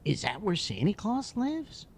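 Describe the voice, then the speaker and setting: A man's voice: huge pitch swings, a little hiss or hum, full character voice. A man playing an eager, curious little boy. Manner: eager rapid questions in a raised childlike pitch.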